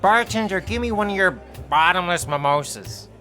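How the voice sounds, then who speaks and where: An actress playing a flustered, weary assistant in an audio drama, sardonic and often stammering. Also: female voice, lowish voice, expressive, normal speed, music in the background, natural voice. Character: flustered, weary assistant who likes a drink.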